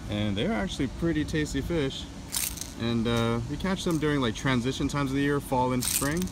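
A man narrating a casual fishing vlog outdoors, speaking off the cuff in a halting way. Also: mid-range voice. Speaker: angler vlogger. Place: outdoors at night on a waterside concrete edge. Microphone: handheld camera or phone, voice off camera. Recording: distant voice, loud outdoor ambient noise.

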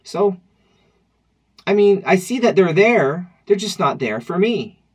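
A man's voice: high voice, sing-song, slow pace, breathy edge. A man in his thirties talking to camera at home in a personal vlog, reflective and wry, with loose conversational restarts. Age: 30s. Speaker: vlogger. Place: dim room at home, indoors.

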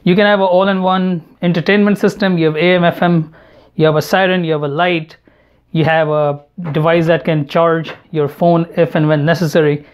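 A man narrating an unboxing and product review in a measured, matter-of-fact way. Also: a little high, flat delivery. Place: indoor room at home, at a table. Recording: home recording with clear background noise. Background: noise, handling clicks.

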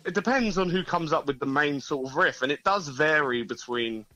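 A young man answering interview questions, relaxed and hesitant with restarts. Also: on the high side, normal speed, no room sound, low-quality recording. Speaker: band member, guest.

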